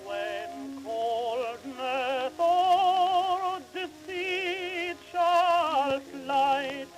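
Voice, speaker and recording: A woman's voice: sits high, rough recording. A woman singing a sentimental ballad in an operatic style. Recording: song with musical accompaniment.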